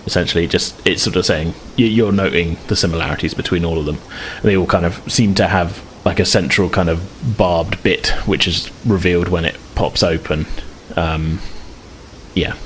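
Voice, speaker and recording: Deep-voiced man running a tabletop game, noisy recording. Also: steady tone, moderate pace. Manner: hesitant, rambling, explanatory. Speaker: game master. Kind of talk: improvised narration of a tabletop game.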